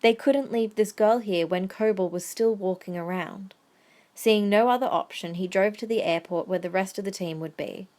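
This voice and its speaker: A woman narrates an audio drama in a medium-pitched voice. She reads scripted prose as the storyteller. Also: moderate pace, a little mellow.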